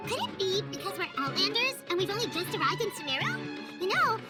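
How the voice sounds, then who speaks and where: A woman voicing a small, childlike, chirpy female fairy companion in an animated video game style, full of exclamations. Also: very high-pitched, a little fast, loud music behind the voice, exaggerated voice. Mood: pondering. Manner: speaks of herself in the third person, exclaiming.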